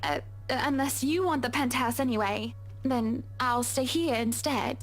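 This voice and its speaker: Figure: a refined young wife, fed up. Pitch: high. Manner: proper and measured. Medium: visual novel game. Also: female voice, faint music behind. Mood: careful. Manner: measured, refined speech breaking into swearing. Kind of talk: game voice acting.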